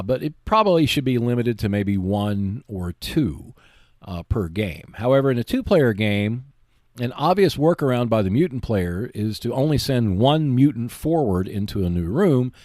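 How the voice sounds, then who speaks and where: A man hosting a hobby show, giving explanatory, semi-scripted commentary in a measured, emphatic way. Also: medium pitch, a little mellow, dry recording, clean studio sound. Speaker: host of a board game video show.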